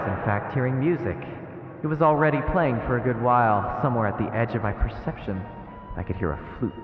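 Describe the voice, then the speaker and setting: A man streaming a horror visual novel, reading it aloud as dramatic, ominous narration. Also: medium pitch. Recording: echoey reverberant muffled sound with music. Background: game music.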